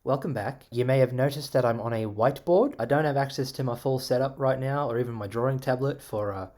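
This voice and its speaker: An Australian man casually answering viewer comments, animated and sarcastic with plenty of swearing. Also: mid-range voice, brisk. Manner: animated, expressive, sarcastic and profane.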